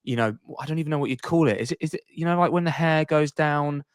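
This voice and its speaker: A man's voice: on the high side, fast talker, clear, resonant tone, no echo, studio quality. A man chatting casually as a sports podcast guest, rambling as he thinks aloud and backtracks.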